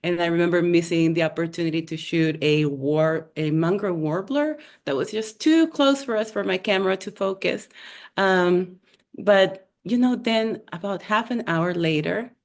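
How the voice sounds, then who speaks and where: Low-voiced Honduran woman telling a story. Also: normal speed. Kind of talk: personal story told on a video call. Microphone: video call.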